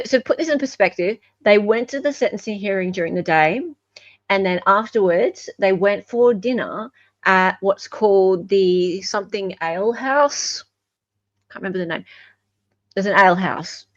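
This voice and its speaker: A woman's voice: mid-range voice, no room sound. Woman chatting casually, dull lo-fi mic. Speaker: host of a true-crime commentary show. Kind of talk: casual chatty commentary on court evidence videos.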